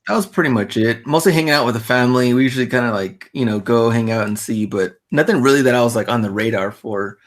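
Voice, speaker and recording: Podcast co-host, man, relaxed chatter, slightly worn voice. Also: medium pitch, fast talker, few pauses. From Texas. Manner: relaxed, easygoing, laughs along. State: worn voice from illness. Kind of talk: casual chat.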